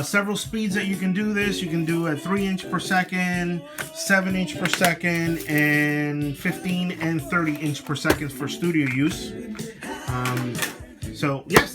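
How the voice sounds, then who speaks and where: A middle-aged man giving a casual show-and-tell in an informal way, thinking aloud as he goes. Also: fairly high pitch. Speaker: vlog host showing a personal tape collection. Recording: music playing behind the voice.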